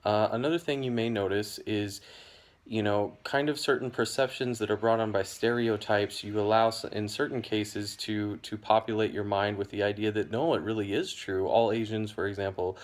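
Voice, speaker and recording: A young American man explaining a topic to camera in a measured, hedging way, with frequent ums and "you know". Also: on the low side, steady tone. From United States. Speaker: educational video host.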